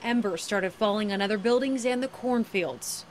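A woman's voice: mid-range voice, normal speed, faint music behind. An American woman in her thirties giving a television news report from the field. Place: outdoors by farm fields. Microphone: handheld reporter microphone. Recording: light outdoor background noise.

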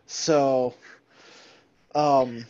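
A man giving opinions in an unhurried way during a video call discussion. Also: medium pitch, steady tone, dark tone. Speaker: meetup participant. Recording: dull video call audio.

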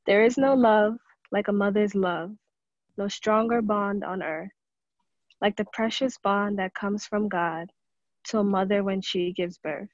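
A woman's voice: mid-range voice, fairly even intonation, a little slow, no echo, lo-fi sound. A woman reciting a devotional poem as a congregation member over an online call.

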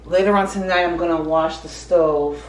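A woman's voice: deep voice, fairly even intonation, normal speed. Chatty young woman vlogging at home. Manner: rambling, self-correcting, laughs at herself. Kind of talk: casual chatty vlog. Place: apartment kitchen and living room. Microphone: camera mic from a few feet away. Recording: echoey room, faint room noise.

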